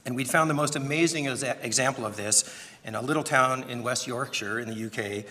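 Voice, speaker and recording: Quiet-voiced guy at a podium, bright sound, big echoey hall, bit of hiss. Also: medium pitch, a little fast. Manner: soft-spoken, with throat-clearing. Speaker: event speaker introducing a presenter. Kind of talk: prepared speech. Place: large conference hall. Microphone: podium microphone.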